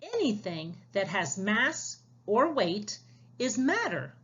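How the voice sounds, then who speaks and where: Woman as a patient, slow-spoken magic-school teacher. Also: medium pitch, sing-song, a bit bright, breathy edge, lo-fi sound, full character voice. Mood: patient explaining. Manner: explanatory, questioning. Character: patient female magic-school teacher explaining science in storybook.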